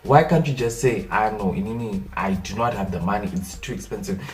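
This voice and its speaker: A young Zimbabwean man with a Zimbabwean accent, a native Shona speaker, talking in a casual comedic vlog rant, animated and exasperated, repeating his points. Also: fairly low pitch, brisk, distant mic, faint music behind. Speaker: vlogger.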